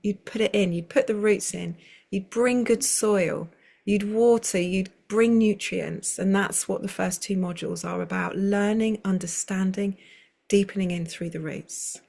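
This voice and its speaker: A woman's voice: medium pitch, measured pace, creaky, dark tone. A woman, a circle facilitator, speaking as a guest over a video call in a flowing, rambling way with many restarts. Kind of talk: conversational interview.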